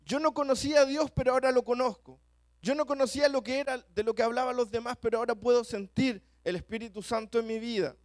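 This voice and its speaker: A man with a Chilean accent preaching a sermon to a church congregation, talking quickly and often repeating words as he goes. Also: very high voice, steady tone, no echo. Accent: Chilean Spanish. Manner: fast-talking, repeats words when rushing.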